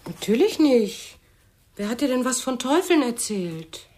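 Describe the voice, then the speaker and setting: A woman's voice: fairly high pitch, big pitch swings, unhurried, darkish tone. An actress playing a touchy, reproachful wife and fussing mother in a German radio play. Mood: exasperated, motherly.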